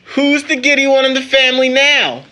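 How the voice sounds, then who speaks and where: A man's voice: extremely high pitch, moderate pace, sharp tone, a little hiss or hum. An actor playing a good-natured young man in an audio drama, jovial and teasing as if with a child.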